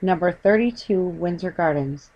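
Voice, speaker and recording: A woman's voice: lowish voice, moderate pace, muffled recording. An earnest little storybook bear, voiced by a woman. He is polite and speaks in a matter-of-fact way. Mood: helpful. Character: small, earnest, polite young male bear.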